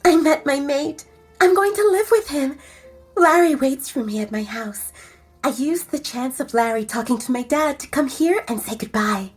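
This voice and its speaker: A woman voicing a dreamy, animated young woman in love for an audio romance story. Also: high-pitched, normal speed, voice pushed up. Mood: dreamy, happy. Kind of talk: audiobook character voice over music.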